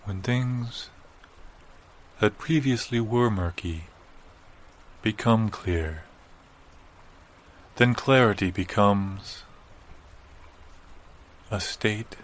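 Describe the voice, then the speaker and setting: A man in improvised audio comedy playing a solemn, pseudo-profound sage, delivering tangled wisdom deadpan with weighty pauses. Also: lowish voice. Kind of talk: improvised audio comedy, mock-meditative pronouncements.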